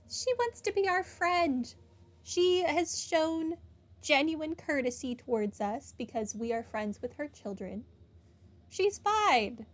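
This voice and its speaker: A woman giving casual commentary as she streams a video game playthrough. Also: sits high. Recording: voice over clear game music. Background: game music.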